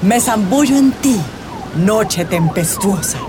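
A woman's voice: fairly high pitch, huge pitch swings, slow pace, background noise, full character voice. An actress playing an impulsive, flirtatious party-loving woman with a Colombian accent in a radio drama. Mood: playful, carefree.

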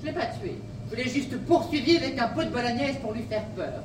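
Female fanatic killer played by an actress, comic podcast fiction. High, pompous, theatrical, defiant; slightly distant from the mic. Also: lively intonation, a little fast, natural voice. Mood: flippant self-justifying. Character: grandiose deranged female killer, fanatical devotee of pasta. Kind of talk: comic audio drama acting. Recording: roomy, off-mic, noisy action-scene sound.

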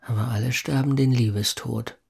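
Smitten urban lover in an audio drama, gravelly, tender, medium pitch. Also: male voice, steady tone, normal speed, a little mellow. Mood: tender, hushed. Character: smitten, sober-minded city man, tender new lover. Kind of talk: intimate audio drama acting.

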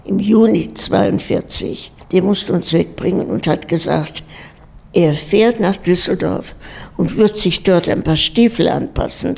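Gravelly-voiced woman in her eighties. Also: on the low side, darkish tone. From Germany. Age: 80s. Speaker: interviewee, home resident. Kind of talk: recounting memories in an interview.

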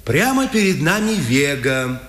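An actor playing a playful, warm-hearted resistance leader in a 1950s radio drama, with teasing theatrical delivery. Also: male voice, fairly high pitch, big pitch swings, measured pace. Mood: fond, teasing. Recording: old radio play with music behind. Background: music.

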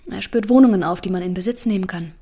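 A woman's voice: lowish voice, fast, mellow, husky. An actress playing a savvy, candid young woman in a radio drama, frank and unapologetic. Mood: matter-of-fact. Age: young adult. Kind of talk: German radio drama acting.

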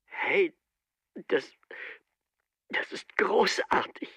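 A man's voice: sits high, animated, pushed and bright, slightly rough, dry recording, exaggerated voice. An actor playing a gullible, bashful small-town family man in a radio drama, speaking hesitantly and naively. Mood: touched, dreamy. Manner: hesitant, naive, halting, tags phrases with "eh".

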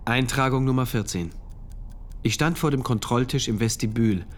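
A man's voice: medium pitch, moderate pace, slight background noise, natural voice. An actor playing a troubled, introspective male diarist who narrates a dystopian radio drama in diary entries. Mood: brooding, detached.

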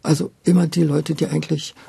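An older woman, a former radio drama director, talking reflectively and a little haltingly in an interview, repeating words for emphasis. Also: low voice, little pitch movement, creaky, mellow, breathy edge.

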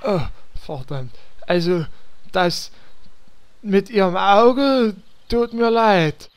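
An actor playing a hapless, stubborn paladin with a Berlin accent in a comedy fantasy audio drama. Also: male voice, sits high, extremely slow, very noisy. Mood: determined. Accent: Berlin German. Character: hapless whiny male paladin, stubborn, self-pitying.

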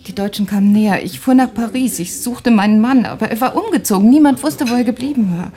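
A woman voices a refugee searching for her husband in a radio play. She speaks wearily and haltingly, sometimes almost whispering, in a mellow, dark tone. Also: a little high, lightly acted. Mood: weary. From Germany. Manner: weary, halting, at times near a whisper. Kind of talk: radio drama acting.

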